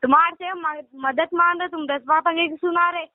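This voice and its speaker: A man's voice: very high voice, steady tone, brisk, dry recording. A teenage boy of fifteen with a Hyderabadi accent, speaking as a caller in a casual, pleading and protesting way. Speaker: caller asking for money. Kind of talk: casual phone conversation. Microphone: telephone. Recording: tinny narrowband phone call recording.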